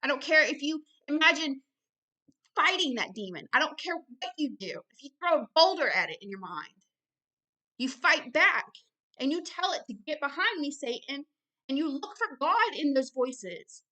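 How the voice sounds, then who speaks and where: Emphatic, bright-voiced woman preaching. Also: sits high, big pitch swings, normal speed, low-quality recording. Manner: emphatic, blunt, repeats phrases for stress. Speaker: ministry founder and host reading and commenting on a book.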